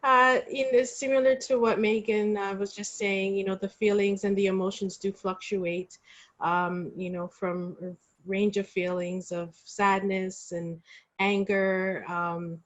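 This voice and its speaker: A woman giving reflective remarks as a panelist on a video call, measured and pausing between phrases. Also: mid-range voice, flat delivery. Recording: dull, muffled video call.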